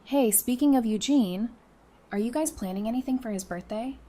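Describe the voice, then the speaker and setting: A woman's voice: a little high, normal speed, dark tone, natural voice. A woman playing a friendly, knowledgeable member of a survivor community in an audio drama, speaking in an easygoing, explanatory way. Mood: upbeat.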